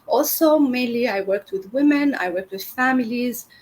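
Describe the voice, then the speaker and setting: A woman's voice: on the high side, normal speed, slight background noise, poor audio. A middle-aged woman from Syria, a business founder telling her story in an interview, earnest with many fillers and restarts.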